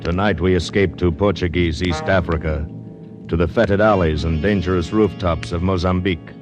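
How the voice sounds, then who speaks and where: A man acting as an ominous narrator of old radio adventure. His deep voice is deliberate and menacing, over clear dramatic music. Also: fairly even intonation, close to an everyday voice. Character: ominous, brooding male narrator of adventure tales.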